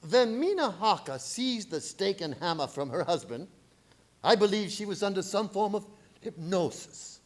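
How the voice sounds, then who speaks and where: A man's voice: high voice, very animated, moderate pace. A man playing a commanding vampire-hunting professor in a radio play, declaiming with dramatic intensity. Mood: solemn. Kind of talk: radio play horror acting.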